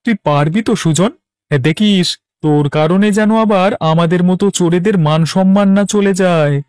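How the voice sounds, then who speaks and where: An actor voicing a gleeful, mocking village thief, sneering and cackling, in a cartoon. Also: male voice, high voice, normal speed, a little mellow, smooth, no room sound, close to an everyday voice. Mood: doubting. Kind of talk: Bengali cartoon voice acting.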